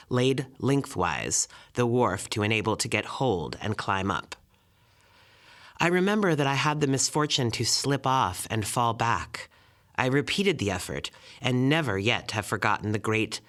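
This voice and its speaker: A man reads a literary memoir aloud. His voice sits at a middle pitch, and his delivery is measured, with formal, old-fashioned phrasing suited to scripted narration. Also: fairly bright tone.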